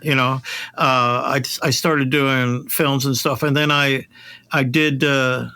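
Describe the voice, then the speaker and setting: A man's voice: medium pitch, fairly even intonation, takes it slowly, sharp tone, clean tone. A middle-aged or older man hosting a casual podcast, talking in a rambling, self-deprecating, conversational way. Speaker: podcast host and voice actor.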